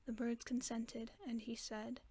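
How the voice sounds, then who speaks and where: A woman reading a fairy tale aloud in a slow, hushed whisper, as a sleep-aid narrator. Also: on the high side, level tone, breathy edge, dry recording. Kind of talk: soft whispered bedtime story reading.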